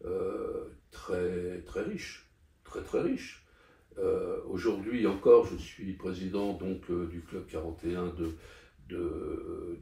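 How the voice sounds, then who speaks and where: A man's voice: low-pitched, gravelly, warm tone. An older man from Paris, talking through his life in an interview, hesitant with many fillers and restarts. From Paris, France. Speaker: interview guest. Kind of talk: conversational interview. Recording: echoey room, distant mic.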